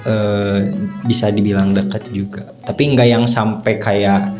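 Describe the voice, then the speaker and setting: A man's voice: lowish voice, level tone, a little slow, darkish tone, soft background music. A man of twenty-two answering interview questions in an easygoing, hesitant way. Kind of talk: casual chat.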